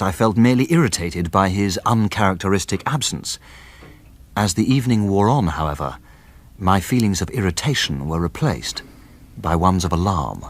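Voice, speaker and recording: An actor playing an anxious, genteel gentleman narrating a mystery in formal, literary language as radio drama. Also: sits low.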